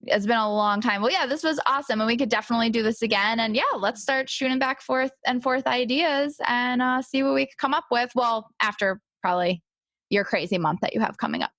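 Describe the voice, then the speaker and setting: A woman's voice: fairly high pitch, fairly quick, a bit bright. A woman hosting a podcast in an enthusiastic, informal, chatty way. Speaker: podcast host. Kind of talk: casual chat.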